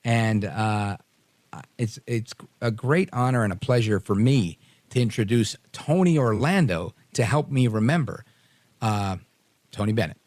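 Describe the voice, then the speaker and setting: A man's voice: on the low side, animated, unhurried, darkish tone, no room sound. A man hosting a late night talk radio show, greeting listeners with energy and leaning on fillers as he talks. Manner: upbeat, hypes the audience, many fillers.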